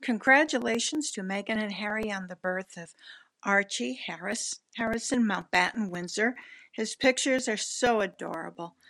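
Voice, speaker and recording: Creaky-voiced American woman, dry sound. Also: mid-range voice, a bit bright, dry recording, low-quality recording. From United States. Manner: admiring, slightly stumbling. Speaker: fan sending a message.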